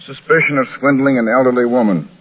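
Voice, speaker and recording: A man's voice: mid-range voice, fast, fairly bright tone, natural voice. A man playing a businesslike lawman who reports in a matter-of-fact way, in a vintage radio crime drama. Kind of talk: 1940s-50s radio crime drama acting. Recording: old dull lo-fi radio recording.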